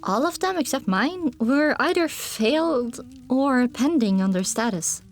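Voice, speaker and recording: A woman narrates a first-person fantasy audiobook. Her voice is fairly high and moves widely in pitch as she tells the story expressively. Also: expressive, unhurried.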